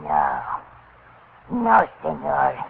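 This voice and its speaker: Ominous old Spanish-accented woman, slow, heavy gravel, 1940s radio drama, tinny sound. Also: mid-range voice, huge pitch swings, exaggerated voice. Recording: noisy, narrow 1940s radio recording.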